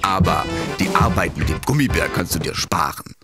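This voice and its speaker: A man playing a patient, gently wry storyteller narrating a children's cartoon. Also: deep voice, lively intonation, moderate pace, loud music behind the voice.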